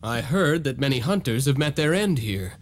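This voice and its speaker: An actor playing a noble young vampire hunter in a video game, speaking in an earnest, solemn and formal way. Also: male voice, medium pitch, expressive, normal speed, a little hiss or hum, natural voice. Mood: resolute. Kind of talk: video game cutscene voice acting.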